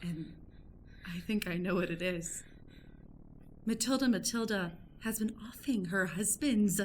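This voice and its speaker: A woman playing a nosy, earnest, awkward journalist in an improvised comedic radio play, halting and polite with frequent stammers. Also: mid-range voice, slight background noise, close to an everyday voice. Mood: confiding.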